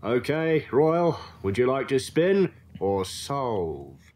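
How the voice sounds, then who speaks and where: A man's voice: medium pitch, sing-song, slow, a little mellow. A man voicing a pony who hosts a TV game show, with a hesitant delivery. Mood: genial, composed. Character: stammering male pony TV game show host.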